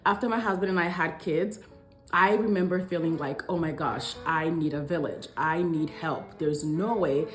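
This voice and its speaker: A woman's voice: sits low, moderate pace, roomy sound, faint music behind. A woman in her thirties from Congo talking to camera as a lifestyle vlogger, conversational and emphatic. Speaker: vlogger, stay-at-home mother. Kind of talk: scripted talk to camera in a lifestyle vlog.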